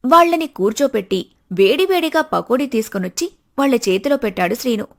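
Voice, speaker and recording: A woman's voice: on the high side, expressive, fairly quick. A woman reading scripted story narration as the narrator of a family tale.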